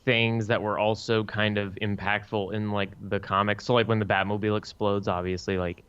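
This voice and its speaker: A slightly muffled, lo-fi recording of a man with a fairly low, very smooth voice. He speaks as a podcast co-host in casual review chat. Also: little pitch movement, fairly quick, fairly bright tone.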